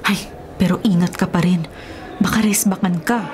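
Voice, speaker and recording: A woman's voice: on the low side, fairly even intonation, moderate pace, lightly acted. A woman playing a convict's loyal, frank and cautioning girlfriend in a Filipino radio drama. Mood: concerned. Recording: noticeable background noise.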